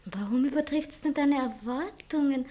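An actress playing an adoring but proud, touchy society fiancée with an Austrian accent in a theatrical radio comedy, coquettish and self-assertive. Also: female voice, sits high, normal speed, warm tone, lightly acted. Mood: piqued.

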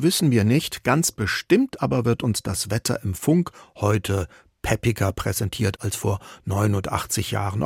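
A man's voice: lowish voice, vocal fry, a little mellow, breathy edge, dry recording, studio quality. A man presenting a radio history program with a wry, conversational scripted commentary.

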